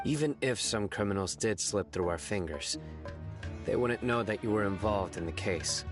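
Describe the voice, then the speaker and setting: A man voicing a stern, serious young warden who speaks tersely and gravely. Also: fairly low pitch, animated, normal speed, close to an everyday voice. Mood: calm. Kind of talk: video game voice acting. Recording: game audio with music under it.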